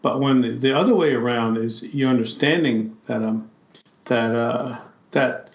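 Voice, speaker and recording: A man from South Dakota speaking as a podcast guest, thoughtful and halting, often repeating his words as he reflects. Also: medium pitch, reverberant, lo-fi sound. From South Dakota, United States. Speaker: podcast guest, flute player. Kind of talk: reflective conversation.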